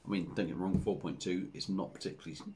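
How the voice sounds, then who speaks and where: A man in his forties with an English accent, chatting casually to camera as he reviews a beer at his home bar, rambling and relaxed with lots of fillers. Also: low voice, very fast, a little hiss or hum. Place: home bar room with dartboard and signs.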